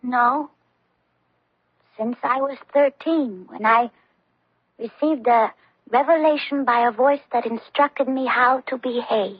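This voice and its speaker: A woman's voice: a little high, slow, long pauses, slightly rough, no room sound. An actress playing a solemn, steadfast nineteen-year-old French peasant girl, devout and defiant, in a radio drama. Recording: dull lo-fi old radio recording.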